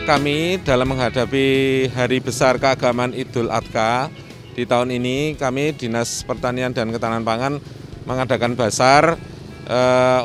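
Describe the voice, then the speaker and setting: Middle-aged man, Javanese Indonesian accent, hesitant official on a handheld mic outdoors, faint music under. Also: mid-range voice. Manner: hesitant, with frequent fillers. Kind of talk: on-camera interview. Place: outdoor street market. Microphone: handheld station microphone.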